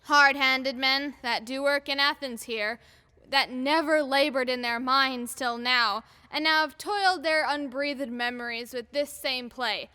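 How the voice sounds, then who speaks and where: Woman acting a high, bright-voiced courtly revels master. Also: exaggerated voice. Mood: deferential, dismissive. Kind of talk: theatrical Shakespearean audio drama acting. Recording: long reverberant hall echo.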